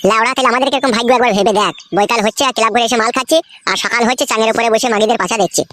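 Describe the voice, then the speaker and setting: A man's voice: very high voice, racing, sharp tone, no echo, studio quality. A man voicing a brash, foul-mouthed young cartoon villager with a rural West Bengal accent, grumbling in crude comic banter. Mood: griping.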